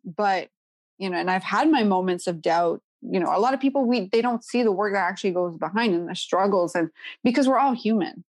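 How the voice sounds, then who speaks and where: A woman's voice: mid-range voice, a little fast, a little mellow, no echo. A woman in her thirties from Quebec with a Quebec French accent, talking as a podcast guest in a casual chat, often adding "you know" and "right?".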